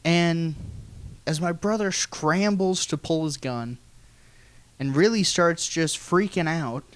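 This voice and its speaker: An American man telling a funny personal story in an animated, folksy way with some swearing. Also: fairly high pitch, slow, clear, resonant tone. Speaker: guest storyteller.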